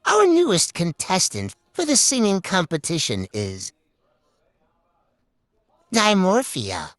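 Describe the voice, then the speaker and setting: Low-voiced, very animated woman hosting. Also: slow pace, faint music behind. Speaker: puppet show host. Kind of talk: playful improvised show hosting.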